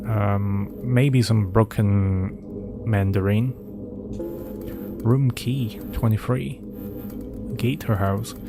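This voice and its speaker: A man's voice: on the low side, fairly even intonation, extremely slow, vocal fry, long pauses. A man whose first language is Cantonese, casually commentating a horror game, reading in-game documents aloud and answering chat questions. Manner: reads documents aloud, then skips ahead with asides. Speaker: streamer playing a horror game. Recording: game music behind the voice.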